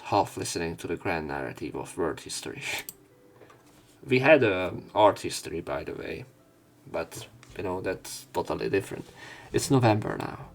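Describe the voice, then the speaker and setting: A man's voice: low-pitched, creaky. A man reading visual novel text aloud for a let's play, dropping in casual, sweary asides.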